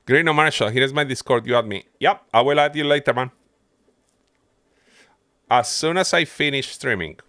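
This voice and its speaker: A man's voice: mid-range voice, moderate pace, long pauses, bright, forceful tone, no room sound, studio quality. A man doing casual livestream game commentary, muttering his running thoughts to himself as he plays. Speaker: game streamer.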